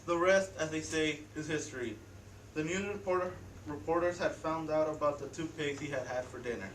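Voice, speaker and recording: A man's voice: a little high, a little fast. A teenage boy narrating a story theatrically as a student stage performer. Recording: echoey room, distant mic, faint noise.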